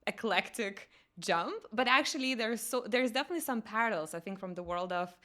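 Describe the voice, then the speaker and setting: A woman hosting an interview, encouraging and quick to laugh, with hesitant, searching phrasing. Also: fairly high pitch, expressive, sharp tone. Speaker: podcast host. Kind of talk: casual interview conversation.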